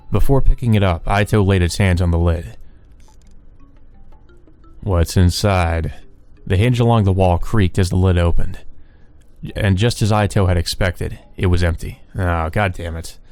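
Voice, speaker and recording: A man's voice: sits low, a little mellow. A man reading a visual novel aloud as the host of a let's play. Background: background music.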